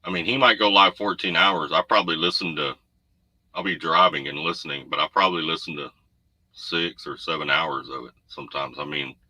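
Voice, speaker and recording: Deep-voiced laid-back trucker man. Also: a little fast, pushed and bright, no room sound, poor audio. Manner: laid-back, rambling, reads viewer comments. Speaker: trucker livestream host. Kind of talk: casual livestream chat. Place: home room. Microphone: desk USB condenser mic. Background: noise.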